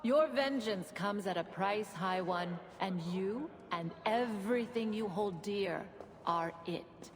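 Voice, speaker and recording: An actress playing a proud, sardonic Norse woman bearing ominous news in a video game, measured and taunting. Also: mid-range voice, long reverb tail. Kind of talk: dramatic cinematic video game acting.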